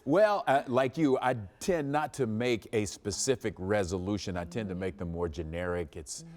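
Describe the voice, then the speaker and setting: A man's voice: lowish voice, moderate pace. A man hosting a local morning TV show, chatting and joking with his co-hosts and reading lists aloud. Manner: chatty, lively banter, reads lists. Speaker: TV show host. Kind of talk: morning TV chat.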